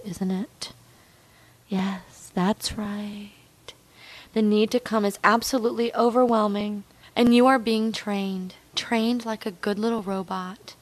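A woman speaking in an intimate, commanding hypnosis style, coaxing and repetitive, with a breathy voice that often drops to a whisper. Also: medium pitch. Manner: slow, drawn-out, dominant and coaxing. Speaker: erotic hypnosis performer.

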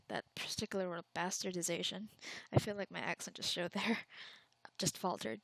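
A young woman from the Philippines talks through listener questions in a chatty, unguarded way. Her mid-pitched voice carries a steady vocal fry, and she often pauses on fillers before finishing a thought. The recording is close and dry, with no room sound. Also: no echo.

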